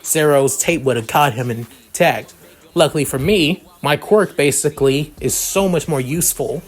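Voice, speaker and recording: A man's voice: fairly high pitch, moderate pace, slightly rough. A man voicing a confident, teasing teenage girl hero student in a smug, matter-of-fact way.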